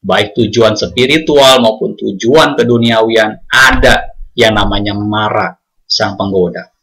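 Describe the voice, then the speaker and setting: A man's voice: fairly low pitch, a bit bright. A man with an Indonesian accent preaching a Buddhist sermon, often addressing the congregation as he speaks. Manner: addresses congregation often, sings hymn lines slowly.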